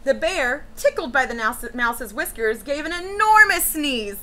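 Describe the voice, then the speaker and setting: A woman reading a picture book to young students as a teacher, in an expressive storytelling style with chatty asides. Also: high-pitched, a little slow. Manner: animated, with asides and questions to children. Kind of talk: expressive children's read-aloud storytelling.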